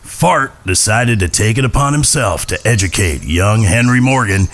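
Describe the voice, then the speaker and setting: A man's voice: lowish voice, animated, normal speed, a bit bright, a little hiss or hum. A man playing a wry, grandiose Old West storyteller narrating an audio drama. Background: guitar music.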